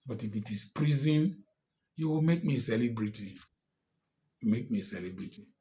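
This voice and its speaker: A man's voice: mid-range voice, expressive, moderate pace, dark tone. A man in his sixties with a Nigerian accent, giving an impassioned political address, emphatic and defiant. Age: 60s. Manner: emphatic and defiant, rising to angry outbursts. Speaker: commentator.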